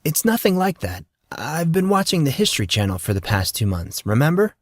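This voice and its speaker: A man's voice: fairly high pitch, big pitch swings, a little fast, no room sound, clean studio sound, voice pushed up. A man voicing a skeptical fourteen-year-old boy in an audiobook, blunt, questioning and easily exasperated. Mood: dismissive.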